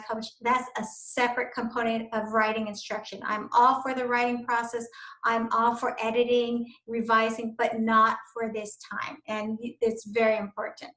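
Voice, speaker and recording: A woman's voice: fairly high pitch, fairly bright tone. A middle-aged woman, a university instructor, giving an earnest, encouraging recorded lecture to teachers over slides. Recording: echoey room.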